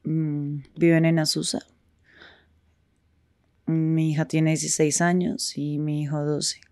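A woman speaking frankly in a street interview, with halting pauses and restarts. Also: deep voice, level tone, darkish tone, no echo, studio quality.

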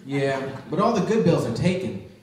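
A man's voice: a little high, big pitch swings, moderate pace, far from the mic, crowd noise, pitched below the performer's own voice. Guy doing goofy eager general in a radio skit, casual n sheepish, echoey and off mic. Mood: indignant. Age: young adult. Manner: casual, sheepish, comic delivery. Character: eager, goofy young army general with a wacky plan. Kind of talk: live old-time radio comedy skit.

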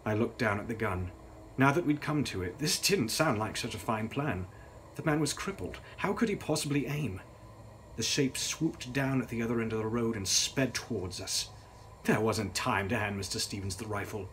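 A man's voice: medium pitch, animated, moderate pace, a bit bright, slight background noise, rough recording. A young man reading a gothic novel aloud in a grave, theatrical, dramatic style. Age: young adult. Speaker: author reading his novel.

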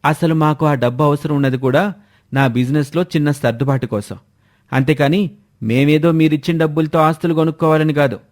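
A man's voice: fairly high pitch, steady tone, brisk, darkish tone, natural voice. Man playing firm, offended son-in-law. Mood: indignant. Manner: firm, indignant. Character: proud, offended adult son-in-law, stern and unyielding. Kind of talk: animated moral-story character voice.

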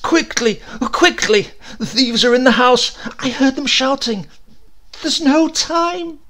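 A man's voice: extremely high pitch, takes it slowly, pushed and bright, voice pushed up, exaggerated voice. A man voicing an old rural postman in a storybook tale, naive, earnest, urgent and bewildered. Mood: panicked.

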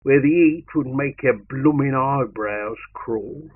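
A man giving a theatrical, measured poetry recitation in a colloquial soldier's vernacular. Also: medium pitch. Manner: theatrical, measured, with dropped-grammar colloquial delivery. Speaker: poetry reader. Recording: narrow tinny sound, faint noise.

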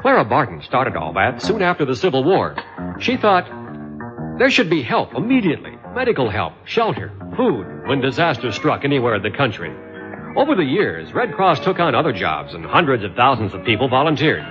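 A man's voice: mid-range voice, huge pitch swings, a little fast. A man with an American accent reading scripted public service announcements for radio. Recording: dull old radio aircheck, music underneath. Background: music.

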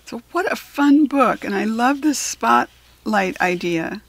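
Slow, creaky-voiced American woman reading to children. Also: a little high, expressive, no room sound, rough recording. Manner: deliberate, instructive, simply explaining to a young listener. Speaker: children's book reader and host. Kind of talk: read-aloud for children with chatty asides.

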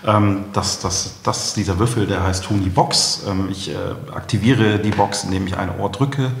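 Middle-aged man, interview guest, deep gravelly voice, halting with ums, roomy echoing recording. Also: fairly even intonation, a little hiss or hum. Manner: hesitant, halting delivery with frequent ums. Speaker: company founder, interview guest. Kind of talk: conversational interview, explaining. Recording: echoey room, long reverb.

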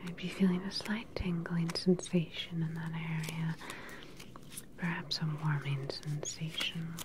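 A young woman playing a calm, clinical evil scientist in an ASMR sci-fi roleplay, speaking softly and soothingly, close to the mic. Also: sits low, noisy background. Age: young adult. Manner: soft, soothing, unhurried personal attention. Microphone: close microphone, leaning in near camera.

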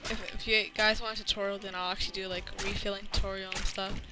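A man's voice: high voice, level tone, fast talker, rough recording. Thirteen-year-old boy gamer over music. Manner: youthful, informal. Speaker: gaming video host. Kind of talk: casual gameplay commentary and chat. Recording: music playing behind the voice. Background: loud music.

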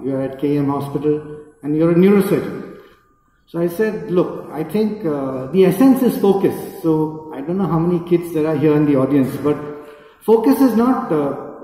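A man's voice: high voice, mellow. A middle-aged man with an Indian accent gives an informal, rambling, anecdotal speech from a podium, recorded from across the room. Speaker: guest speaker, neurosurgeon. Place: indoor event hall at a podium. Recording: echoey hall, distant camera microphone.

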